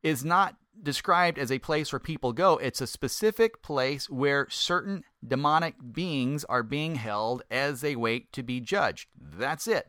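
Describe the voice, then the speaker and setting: A middle-aged man, a former pastor, giving a conversational Bible teaching in a folksy, self-deprecating way and slowing down to stress key points. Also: a little high, fairly bright tone, dry recording, studio quality.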